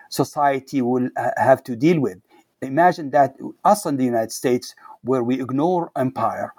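A man speaking as an interviewed scholar, making emphatic academic points in a halting, searching way with repeated words. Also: fairly high pitch, a little mellow, no echo. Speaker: scholar and author being interviewed.

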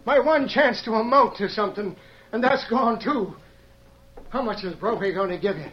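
An actor playing a desperate, folksy small-town inventor and shopkeeper in an old-time radio western. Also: male voice, high voice, moderate pace, a little hiss or hum, lightly acted. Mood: angry, accusing. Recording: muffled old radio drama recording.

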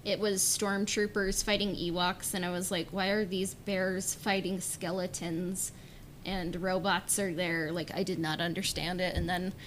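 A woman's voice: fairly low pitch, level tone, measured pace, a little hiss or hum, poor audio. A woman hosting a podcast in a casual, chatty style. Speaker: podcast host. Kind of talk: casual chat.

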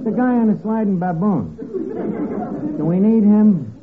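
An actor playing a scheming, penny-pinching tavern manager with a New York accent and rough, slangy diction, in a 1940s radio comedy. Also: male voice, sing-song, measured pace, phone-quality sound, crowd noise. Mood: insistent, wheedling. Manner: wheedling, malapropism-prone, hesitating before each pitch. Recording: thin, noisy old radio broadcast recording.

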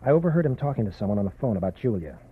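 An earnest, worried young man from a small town, husky and pleading in tone. It comes through a tinny old radio broadcast. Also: fairly low pitch, sing-song, very fast, full character voice. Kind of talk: 1940s radio acting.

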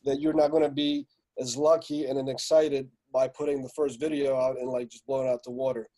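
A man in his forties who grew up in Slovakia talks through his story as a podcast guest. His voice is mellow and dark, and his delivery stays flat and even. He rambles easily, with plenty of fillers. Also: medium pitch, fairly quick, low-quality recording. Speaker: guest, music entrepreneur. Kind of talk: casual podcast interview.

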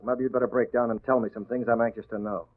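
An actor playing a tough, sardonic masked vigilante in 1940s radio drama style, speaking in clipped, menacing phrases. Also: male voice, medium pitch, little pitch movement, fast, lightly acted. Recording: muffled, narrow-band old radio broadcast.

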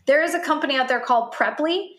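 A woman's voice: high voice, fast, a bit bright. A woman guest on a podcast talking casually as a health coach, chatty and self-deprecating, full of fillers, whose first language is Italian. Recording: roomy echo.

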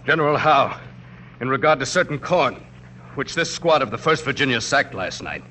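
An actor playing a wry, fatalistic swashbuckling soldier in old radio drama, with a terse, sardonic manner. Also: male voice, mid-range voice, expressive, moderate pace, pushed and bright, a little hiss or hum, poor audio. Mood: grimly wry. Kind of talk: 1940s-50s radio drama acting. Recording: faintly humming old radio recording.

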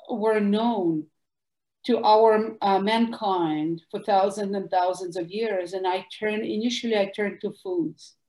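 A woman with a Russian accent, a guest doctor answering interview questions in an animated, emphatic way. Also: mid-range voice. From former Soviet Union. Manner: animated, emphatic, bursts of louder words. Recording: echoey room.

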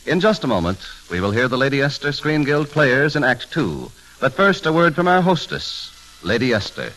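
A man's voice: mid-range voice, very animated, normal speed, sharp tone, poor audio. A man working as announcer, speaking in formal 1940s radio style.

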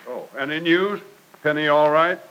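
A man's voice: on the high side, normal speed, a bit bright, lightly acted, rough recording. An actor playing a kindly, plain-spoken older father figure in an old-time radio drama, patient and reassuring. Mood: concerned, fatherly. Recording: vintage radio recording.

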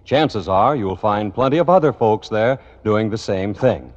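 Vintage radio announcer man, very animated intonation. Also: lowish voice, a little slow. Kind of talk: 1940s radio announcing, scripted narration. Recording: dull old radio recording, long reverb.

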